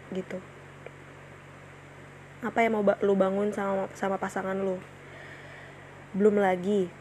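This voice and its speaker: A woman's voice: mid-range voice, warm tone, a little hiss or hum. A woman in her twenties hosting her own casual solo podcast, chatting in slang with many fillers and restarts. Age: 20s. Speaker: podcast host.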